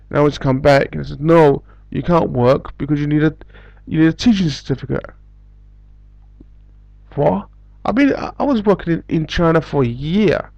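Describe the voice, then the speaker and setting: A man's voice: a little high, gravelly, a little mellow, no echo, dull audio. A man from England with an English accent, giving rambling, casual advice about teaching jobs, full of fillers and restarts. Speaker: English school recruiter.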